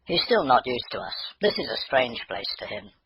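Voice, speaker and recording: A man's voice: medium pitch, sing-song, moderate pace, fairly bright tone, slight background noise, low-quality recording. A man voicing a kind, reassuring father of a little girl in a children's storybook.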